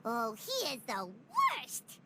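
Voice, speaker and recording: Squeaky, tiny childlike fairy sidekick. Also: female voice, wildly swooping pitch, very slow, very noisy, full character voice. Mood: indignant. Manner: exclamatory, teasing. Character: tiny chirpy childlike fairy companion, cheeky and blunt. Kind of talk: video game character acting.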